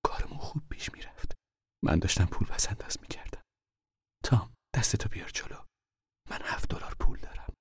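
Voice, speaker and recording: A man voicing an older mother who fears for her fugitive son, speaking in a hushed, anxious whisper. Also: lowish voice, vocal fry, husky, no echo, clean studio sound, exaggerated voice. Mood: pleading whisper. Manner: anxious, pleading, protective.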